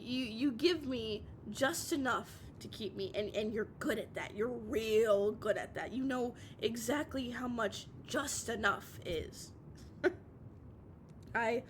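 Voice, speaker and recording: A woman playing a drunk, heartbroken, obsessive young lover in an intimate audio roleplay, tearful and halting, stammering and repeating herself. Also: fairly high pitch, a little hiss or hum, natural voice. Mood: hurt, tearful.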